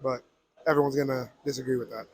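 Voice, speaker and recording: A man from Connecticut with an American accent, answering a street interview outdoors in a relaxed, easygoing way. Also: medium pitch, fast, slightly rough, low-quality recording. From Connecticut, USA. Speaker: interviewee, visitor.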